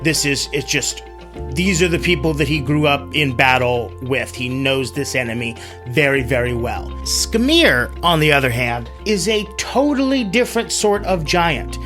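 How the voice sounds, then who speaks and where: A man's voice: fairly high pitch, animated, normal speed, fairly bright tone, soft background music. A man hosting a story show, telling tales with dramatic phrasing and casual, conversational asides. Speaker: host and storyteller.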